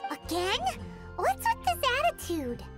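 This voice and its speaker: A tiny fairy girl sidekick from a video game. The voice is extremely high, squeaky and childlike, earnest and very lively in pitch, with prominent music behind it. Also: measured pace, heavily acted. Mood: exasperated. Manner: speaks of self in third person.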